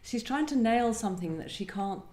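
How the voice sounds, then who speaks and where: Thoughtful, halting woman in an echoey room. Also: fairly high pitch, expressive, mellow. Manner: thoughtful, halting, searching for words. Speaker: guest poet discussing and reading poems. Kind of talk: literary discussion and poetry reading. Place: echoey indoor room at a live event.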